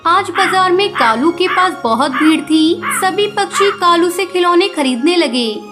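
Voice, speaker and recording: A woman reading scripted narration for a children's cartoon story. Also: very high voice. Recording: light music behind the voice.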